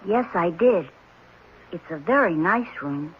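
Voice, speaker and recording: Eerie orphan boy for 1970s radio drama: earnest, deliberate, childlike; dull, noisy vintage recording. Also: lowish voice, lively intonation. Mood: earnest, wondering. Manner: simple, deliberate, childlike phrasing.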